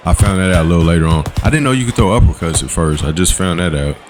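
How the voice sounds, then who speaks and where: A man, giving laid-back voice-over commentary on his gameplay in a slangy, coaching way. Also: background noise. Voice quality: low voice. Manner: laid-back, coaching, slangy with quick "boom" call-outs. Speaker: gaming channel host. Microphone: voice-over recorded separately from the footage.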